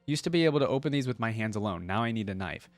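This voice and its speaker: A man's voice: fairly low pitch, expressive, rapid-fire, no room sound. A man voicing a kindly old village woman from a storybook, with folksy, plain rustic speech. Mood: matter-of-fact, wise. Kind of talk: storybook reading.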